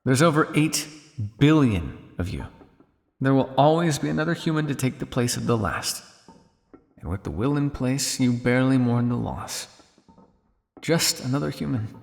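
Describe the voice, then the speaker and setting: Suave male vampire scientist, played by a man, cynical and condescending, gravelly creak, long reverb, ASMR roleplay. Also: medium pitch, expressive, faint music behind. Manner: teasing, condescending, drawling with theatrical pauses.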